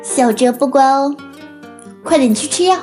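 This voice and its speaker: A woman acting a hardworking, tired teenage older sister in an audio drama, gentle and earnest. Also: high-pitched, moderate pace, soft background music, lightly acted. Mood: tender, coaxing.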